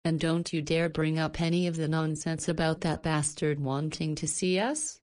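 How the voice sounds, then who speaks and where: A woman's voice: low voice, fairly even intonation, normal speed, darkish tone, natural voice. An actress voicing a teasing, confident, protective woman in a visual novel, with a knowing and forthright manner. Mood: stern, protective.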